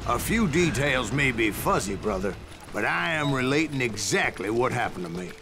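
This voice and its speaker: An actor voicing a grizzled old gunslinger in a Western game, telling tall tales in a gravelly, folksy American drawl. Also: male voice, medium pitch, big pitch swings, moderate pace. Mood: gritty storytelling. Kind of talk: scripted in-character narration. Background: music.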